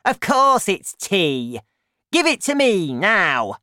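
A woman's voice: lowish voice, very animated, slow pace, fairly bright tone, no echo, studio quality, voice pushed up, full character voice. A woman voicing a bossy, grumbling, greedy old grandmother in a storybook, demanding and scolding. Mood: insistent.

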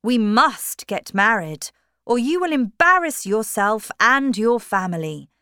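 A woman's voice: a little high, expressive, a little slow, bright, forceful tone, smooth, no room sound, studio quality, voice pushed up, heavily acted. A woman voicing a passionate, desperate young man in a storybook reading, speaking urgently. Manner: urgent, emotional.

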